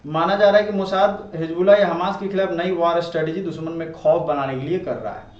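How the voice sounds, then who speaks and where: A man's voice: a little high, fast talker. An Indian man teaching current affairs informally, explaining in a conversational way and often checking that listeners follow. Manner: conversational, checks understanding with rhetorical tags. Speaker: online teacher. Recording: muffled, roomy, distant mic, faint noise.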